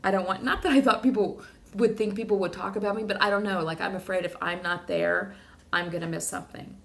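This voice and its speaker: A woman sharing a rambling, emotional personal video diary, a little hoarse from talking. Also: mid-range voice, fairly even intonation, fast talker. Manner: rambling, emotional, many fillers and restarts. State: slightly hoarse. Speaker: vlogger.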